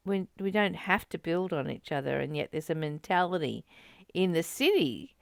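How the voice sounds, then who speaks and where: A woman's voice: lowish voice, big pitch swings, normal speed, creaky, no echo, studio quality. A woman in a reflective spiritual discussion, speaking in a personal, conversational way to a partner. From Australia.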